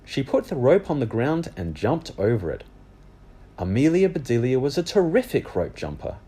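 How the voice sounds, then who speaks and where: A man reading a children's book aloud as animated storybook narration. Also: medium pitch, moderate pace, husky.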